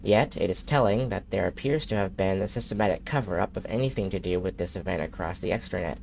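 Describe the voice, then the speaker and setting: A man streaming a video game who reads in-game messages aloud in a steady, unhurried way, with a nasal voice and occasional slips. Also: low-pitched, creaky, a little hiss or hum. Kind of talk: reading in-game messages aloud during a live gameplay stream.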